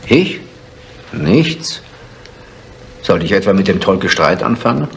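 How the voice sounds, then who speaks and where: An actor playing an unconcerned, gossipy village neighbour in a German radio crime drama. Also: male voice, sits low, very animated, takes it slowly, vocal fry, exaggerated voice. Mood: nonchalant. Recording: faintly noisy 1970s radio play.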